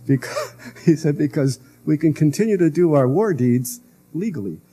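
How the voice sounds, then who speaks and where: An older man giving a public lecture, speaking softly with frequent pauses and fillers. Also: on the high side, expressive, soft background music. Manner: soft-spoken, halting with many fillers. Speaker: guest lecturer. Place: lecture hall. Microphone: podium microphone.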